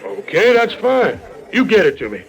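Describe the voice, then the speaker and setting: A man's voice: sits high, huge pitch swings, moderate pace, creaky, lo-fi sound, full character voice. An actor in an old radio drama playing an eager, gullible Texan businessman, folksy and sure of himself. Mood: eager, insistent. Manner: folksy, deferential to sir, bossy to wife. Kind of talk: 1950s radio drama acting.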